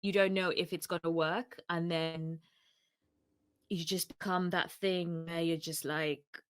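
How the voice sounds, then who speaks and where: Female podcast co-host from Uganda with a British accent, reflective and rambling, lowish voice, dry sound. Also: little pitch movement, measured pace, smooth, no room sound. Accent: British English. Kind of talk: casual chat.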